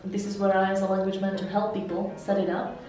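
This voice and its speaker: Mellow-voiced Slovak woman, echoey room. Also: medium pitch, flat delivery, fairly quick. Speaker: language mentor and interpreter. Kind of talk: interview answers. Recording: echoey room, heard from some distance, soft music.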